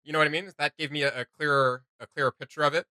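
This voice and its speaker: Very bright-voiced man chatting casually. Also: fairly high pitch, steady tone, rapid-fire, clear, resonant tone, dry recording. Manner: rambling, giggly, informal, many "like" and "you know". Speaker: reaction video host. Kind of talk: casual reaction chat.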